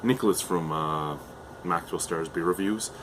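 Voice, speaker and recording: Low-voiced man losing his voice. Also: normal speed, fairly bright tone, a little hiss or hum. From Canada. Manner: chatty, hesitant, with frequent fillers and restarts. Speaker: beer reviewer. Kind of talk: casual beer review vlog.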